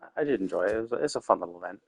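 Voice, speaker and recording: A man's voice: low-pitched, lively intonation, racing, creaky. A man streaming a game live, thinking aloud in a loose, rambling way with lots of restarts. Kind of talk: casual live-stream commentary while playing.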